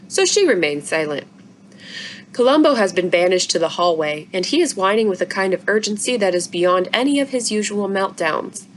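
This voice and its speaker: A woman narrates a children's book as a story-time reader, expressive and at a middle pitch for a woman. Clear background noise runs behind her voice. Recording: noisy room recording.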